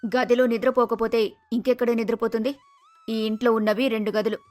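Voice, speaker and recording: A woman's voice: on the high side, steady tone, quick pace, a little mellow, soft background music, pitched below the performer's own voice, lightly acted. Woman acting a patient middle-aged mother. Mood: concerned. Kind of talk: acted cartoon moral story.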